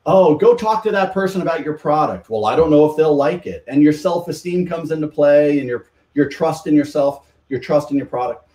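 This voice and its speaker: A man's voice: fairly high pitch, lively intonation, a little fast, few pauses, dark tone. Man teaching from a distance, echoey. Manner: explanatory, teaching with examples. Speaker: podcast guest, communication coach. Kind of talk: podcast interview.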